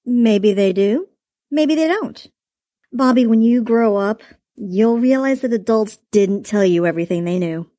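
Casting: woman putting on a small male storybook dragon, light and airy but commanding, pitched a bit high, dry close sound. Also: warm tone, no room sound, natural voice. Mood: calm. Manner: stern, measured, faintly teasing. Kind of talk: audiobook character voice.

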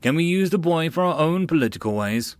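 A man's voice: a little high, animated, fairly quick, no room sound, voice pushed up, lightly acted. A man voicing a pondering military commander in an audiobook, terse and inquisitive. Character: pondering male military commander questioning his officer.

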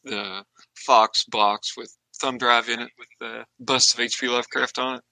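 Male podcast interviewer, very sharp bright voice, dry sound, casual chat. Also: mid-range voice, steady tone, normal speed, vocal fry, dry recording, poor audio.

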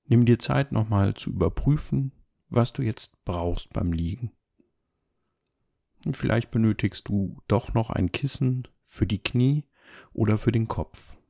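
A man leading a guided meditation as an instructor, speaking calmly and gently in measured, unhurried phrases. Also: fairly low pitch, vocal fry. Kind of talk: guided body scan meditation, soft instruction.

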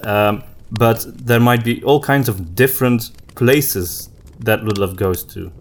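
Man, lowish pitch, podcast host, casual conversational chat, thinking aloud with pauses. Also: a little hiss or hum.

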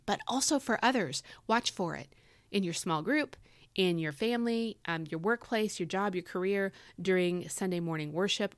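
A woman with a low, gravelly voice hosts a devotional podcast. Her voice is scratchy and worn from overuse. She talks in an earnest, chatty way with lots of "um," and the recording is close and dry. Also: no room sound. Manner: earnest, chatty, frequent "um" fillers. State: voice tired from overuse. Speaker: devotional podcast host and author. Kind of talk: casual devotional podcast talk.

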